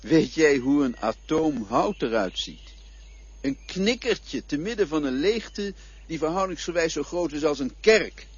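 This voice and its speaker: A man playing a calm, cryptic male guide who speaks of the dead in a patient, lecturing way, in a radio play. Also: fairly high pitch, a little slow, husky, exaggerated voice. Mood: mocking. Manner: patient, lecturing, quietly mocking. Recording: dull lo-fi old radio recording.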